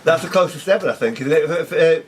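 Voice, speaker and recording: A man's voice: fairly high pitch, lively intonation, very fast, over a noisy crowd. A man taking part in a quiz, chatting and bantering casually in a social club room. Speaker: quiz contestant.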